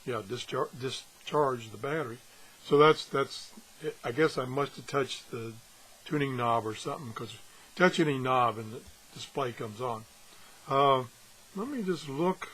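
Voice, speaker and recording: A man with a slightly husky voice gives a casual, unscripted hands-on review, pausing and thinking aloud as he goes. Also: mid-range voice, fairly bright tone, poor audio. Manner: halting, thinking aloud as he handles things. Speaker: gadget reviewer and video host.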